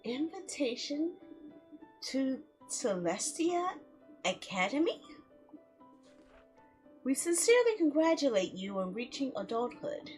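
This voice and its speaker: A woman streamer plays a visual novel and reads it out with theatrical flair. Her voice is high and swings widely in pitch, at an unhurried pace. She slips between narration and playful reactions of her own. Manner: theatrical, expressive, giggly asides. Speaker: game streamer. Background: background music.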